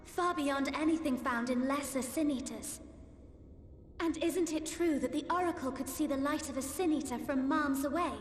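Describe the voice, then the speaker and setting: High-voiced earnest young girl, acted by a woman. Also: normal speed, slight background noise, close to an everyday voice. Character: earnest teenage girl, a young seer's ward in a fantasy world. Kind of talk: video game cutscene acting. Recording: echoey hall reverb, music behind. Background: faint music.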